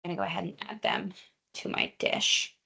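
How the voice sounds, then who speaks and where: A woman's voice: fairly low pitch, huge pitch swings, fairly quick, creaky, sharp tone, breathy edge. A young adult woman, a dietitian, leading a live online cooking class in a chatty, friendly way with many fillers, recorded over a video call. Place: home or teaching kitchen.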